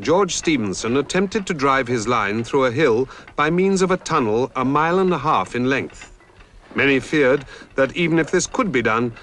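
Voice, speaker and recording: Lively documentary narrator, man. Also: mid-range voice, slight background noise. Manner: measured, dramatic storytelling delivery.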